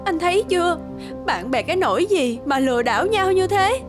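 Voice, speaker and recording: Very high-voiced wife, plaintive, scolding, tearful, animated drama, music behind. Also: female voice, fairly quick. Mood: tearful reproach. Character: distressed adult wife, tearful and reproachful. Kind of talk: cartoon voice acting.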